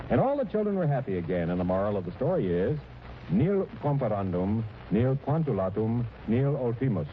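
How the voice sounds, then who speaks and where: A noisy, muffled old radio recording of a man narrating a comic story. The delivery is animated and mock-solemn, in scripted 1940s style. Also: medium pitch.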